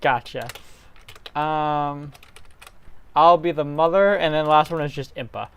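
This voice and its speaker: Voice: medium pitch, a little slow, fairly bright tone, clean tone. A co-host chatting casually and explaining things in an easygoing way while organizing a story reading. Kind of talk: casual chat.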